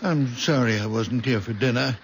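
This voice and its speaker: A man playing a gentle, lonely very old father with healing hands in an old radio drama, speaking softly and haltingly. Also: medium pitch, natural voice. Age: older. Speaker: aged father. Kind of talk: 1970s radio drama acting. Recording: old radio broadcast with hiss.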